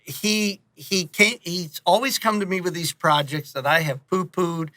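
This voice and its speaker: A man with a slightly high, forward, bright voice speaks as a guest in a casual interview. He rambles and restarts with animated, joking energy. The sound is dry and free of room echo. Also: a little slow. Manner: animated, rambling, jokey, self-interrupting, acts out quotes; casually profane. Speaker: interview guest, audio drama director and producer.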